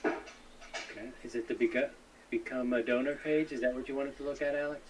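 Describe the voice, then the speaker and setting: A man's voice: medium pitch, fairly even intonation, brisk. A man casually hosting a live stream, talking to guests and viewers as he works the screen. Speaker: live-stream host running the screen share. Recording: clearly noisy feed.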